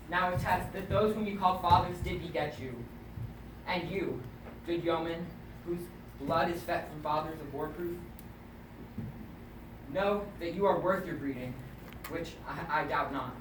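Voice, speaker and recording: A man's voice: fairly high pitch, lightly acted. Man performing a rousing warrior king, echoey and distant. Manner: declamatory, projected, commanding. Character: rousing warrior king urging his soldiers into battle. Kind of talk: theatrical Shakespeare monologue. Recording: distant mic, echoey, clearly noisy ambience.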